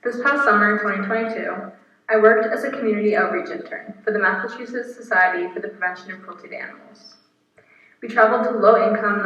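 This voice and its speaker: Young woman guest speaker, earnest and steady, far from the mic, muffled, very roomy. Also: medium pitch. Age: 20s. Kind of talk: reading a prepared speech. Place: apartment room. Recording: echoey, distant, muffled video recording.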